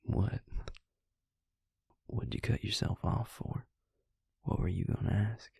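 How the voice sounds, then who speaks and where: A man playing a gentle, devoted vampire lover in an intimate roleplay audio, speaking tenderly and haltingly in a breathy, gravelly near-whisper. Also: on the low side, no room sound, clean studio sound, full character voice. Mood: surprised, gentle. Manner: slow, tender, halting delivery.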